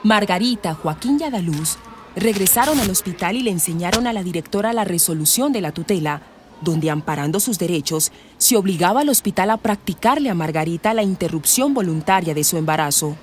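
A woman's voice: fairly low pitch, animated, fairly quick, a little hiss or hum. A woman narrating a scripted radio drama. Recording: music behind the narration.

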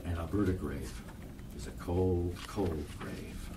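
Deep, dark-voiced man reading poetry. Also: animated, slow pace, creaky, far from the mic, a little hiss or hum. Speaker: poetry reader. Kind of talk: literary poem reading.

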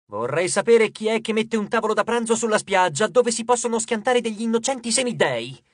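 A man's voice: sits high, lively intonation, a little fast, no echo, clean studio sound, pitched above the performer's own voice. A man voicing a sarcastic, scrappy teenage demigod boy in an audiobook, with a flippant, wisecracking manner. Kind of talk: audiobook character voice.

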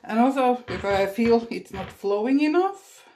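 Slow-talking Norwegian woman demonstrating watercolor. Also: a little high, expressive, dark tone, slight background noise. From Norway. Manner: chatty, self-deprecating, laughs often. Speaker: watercolor artist. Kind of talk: casual painting demonstration. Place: indoors at a painting table. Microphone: phone.

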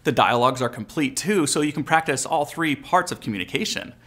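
A man's voice: a little high, lively intonation, a little fast, fairly bright tone. A man in his forties with an American accent, explaining and coaching as a video host in a casual instructional style. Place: furnished living room, indoors.

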